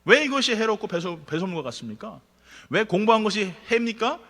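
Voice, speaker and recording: A man preaches a sermon in a church sanctuary, talking quickly in a bright, high voice. He swings from emphatic peaks to quiet asides. A long reverb from the hall trails his words. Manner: animated preaching, rising emphatic then dropping to quiet asides. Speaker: pastor.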